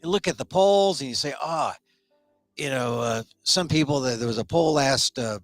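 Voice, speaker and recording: Animated chatty male talk-show host. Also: medium pitch. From United States. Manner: animated, chatty, rambling with restarts. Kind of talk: casual solo talk show.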